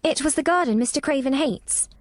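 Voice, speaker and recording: Woman doing a ten-year-old girl, high lively voice, careful and kinda nervous, dry sound. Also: fairly quick, no room sound. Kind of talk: audiobook character voice.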